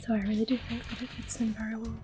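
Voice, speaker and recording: A woman giving soft-spoken ASMR game commentary in a hushed, breathy whisper, gentle and unhurried, close to the microphone. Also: on the high side, flat delivery, over music. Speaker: gameplay video host.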